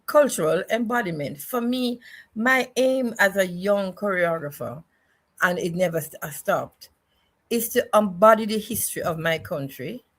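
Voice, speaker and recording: A woman's voice: mid-range voice, normal speed. Jamaican woman reflecting with emphasis. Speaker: guest, choreographer and dance educator. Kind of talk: reflective interview conversation.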